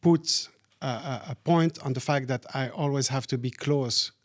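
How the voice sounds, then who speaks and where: Thoughtful Syrian-born man, halting speech. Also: medium pitch. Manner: thoughtful, repeats words while searching for them. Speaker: guest. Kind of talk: casual interview chat.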